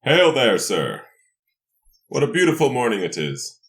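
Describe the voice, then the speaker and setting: A man's voice: mid-range voice, huge pitch swings, unhurried. A man taking part in a tabletop role-playing game, asking and reacting in casual chat.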